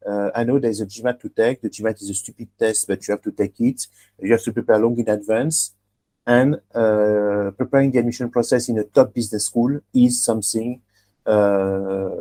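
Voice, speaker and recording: A man's voice: medium pitch, mellow. A man whose first language is German hosts an online webinar as an admissions coach. He talks emphatically and often checks in with "huh?" and "okay?". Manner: emphatic advisory tone, tag questions like "huh?" and "okay?". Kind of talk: online webinar talk with a student guest.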